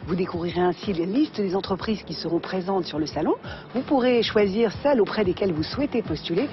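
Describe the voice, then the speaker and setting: A woman's voice: medium pitch, fast talker. A woman narrating a scripted TV news report as a reporter. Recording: slightly dull sound over music. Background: background music.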